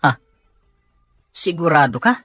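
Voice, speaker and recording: A questioning accomplice played by a man in an audio drama. His voice is a little high for a man and somewhat husky, recorded dry and close. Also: sing-song, slow, pushed and bright, no echo, faint music behind, full character voice. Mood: doubtful. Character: questioning male partner in crime. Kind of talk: acted dialogue in an audio drama.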